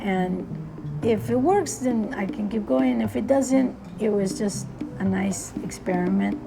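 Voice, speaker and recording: A woman's voice: medium pitch, unhurried, vocal fry, music in the background. A woman from Argentina, a cosmetics brand founder, chatting casually about her life and work and laughing easily. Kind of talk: casual conversation.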